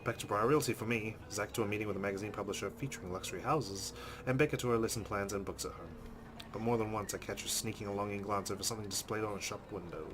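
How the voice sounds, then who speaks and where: A man's voice: lowish voice, fast talker, background noise. A man hosting a let's play, reading story text dramatically and adding casual commentary.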